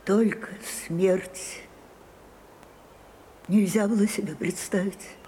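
A woman's voice: mid-range voice, fairly even intonation, dark tone, a little hiss or hum, close to an everyday voice. An older woman playing an elderly, imperious and wistful stage actress in a theatrical radio drama. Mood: brooding, wistful. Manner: slow, deliberate, theatrical delivery.

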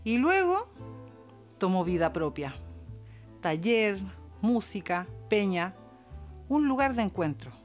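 A woman's voice: medium pitch, very animated, slow pace, pauses often. A Chilean woman narrating a scripted podcast story in a dramatic way, lingering on her phrases. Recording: music behind the voice. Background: music.

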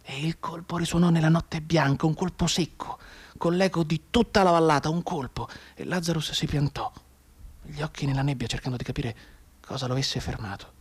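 An actor playing a soldier telling a dead comrade's mother about war, in a theatrical, repetitive radio monologue that builds from hushed asides to outbursts. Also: on the high side, steady tone, fairly quick, breathy edge. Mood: anguished, insistent, then sorrowful, haunted.

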